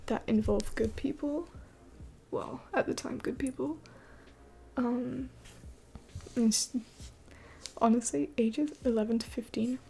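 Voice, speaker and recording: A young woman in her twenties rambling through a late-night livestream Q&A, her mellow voice slipping in and out of a hushed whisper. She talks in a gravelly fry, a little higher than most, with faint noise behind her. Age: 20s. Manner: rambling, many fillers, often drops to a whisper. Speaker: livestream host. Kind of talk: late-night live Q&A chat.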